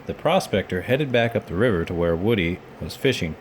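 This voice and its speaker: A man's voice: low voice, lively intonation, brisk, a little hiss or hum. A man reading a children's storybook aloud expressively, as if for bedtime. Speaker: storybook reader, children's read-aloud host.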